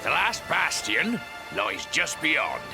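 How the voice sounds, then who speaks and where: A man playing a hearty, kindly old fisherman in a video game cutscene, with gravelly, folksy seafarer talk. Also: fairly high pitch, huge pitch swings, slow pace, exaggerated voice, poor audio. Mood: regretful, kindly. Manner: folksy seafarer talk, refers to himself by name. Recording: game music under the voice.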